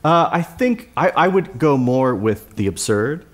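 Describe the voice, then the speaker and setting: A man's voice: medium pitch, big pitch swings, measured pace. A man talking analytically as a playwright in a live discussion, choosing his words in an intellectual way. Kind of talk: analytical talk on stage. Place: live theatre in front of an audience.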